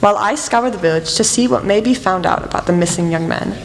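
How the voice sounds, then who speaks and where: A woman playing a composed, courteous detective in a radio play, speaking in formal, measured phrases. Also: mid-range voice, lightly acted. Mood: musing. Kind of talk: amateur radio play acting. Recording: echoey hall, faint music.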